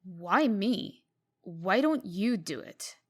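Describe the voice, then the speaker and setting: Female actor for exasperated princess-administrator in comic dub. Mid-range voice, brisk, sharp-tongued delivery. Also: medium pitch, animated, slightly rough. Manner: curt, guarded, sharp-tongued. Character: brisk, exasperated fairy-tale princess turned official.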